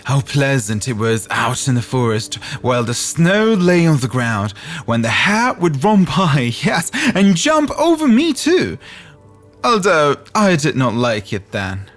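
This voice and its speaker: A man's voice: mid-range voice, very animated, unhurried, faint music behind. A man voicing a naive, lonely young fir tree, musing earnestly and hesitantly in a fairytale. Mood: wistful, nostalgic.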